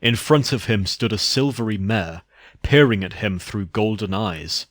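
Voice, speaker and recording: A man narrates a dark horror tale as a scripted reading. His voice sits fairly low, and the sound is dry with no room around it. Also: lively intonation, measured pace, no echo.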